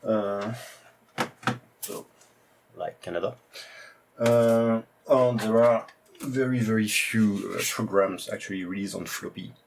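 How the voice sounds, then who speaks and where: Gravelly French-accented man, halting delivery. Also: on the low side, noisy background. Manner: hesitant, heavy use of "uh" fillers. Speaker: retro computing video host. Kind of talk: casual show-and-tell presentation.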